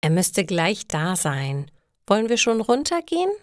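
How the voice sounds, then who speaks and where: Woman doing storybook mom, patient n practical, matter of fact, slow, dry sound. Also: mid-range voice, sing-song, no echo. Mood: reassuring. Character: practical, patient mother in a children's carnival story.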